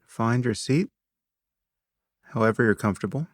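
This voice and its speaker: A man narrating a guided meditation in a measured, instructive manner, speaking in short phrases with long pauses between them. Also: medium pitch, no echo, clean studio sound.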